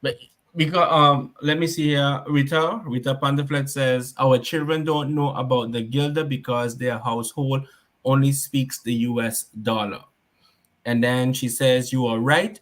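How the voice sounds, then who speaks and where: A man's voice: mid-range voice, fairly even intonation, unhurried. A man hosting a talk show, asking pointed questions and reading out viewer comments. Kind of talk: casual talk show discussion.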